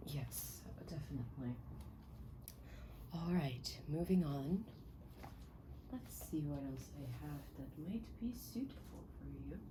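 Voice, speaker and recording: Low, soft-spoken ASMR woman. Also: lively intonation, background noise. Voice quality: soft, breathy, often dropping into a whisper. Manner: gentle, polite, attentive, unhurried. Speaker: ASMR performer roleplaying a personal stylist.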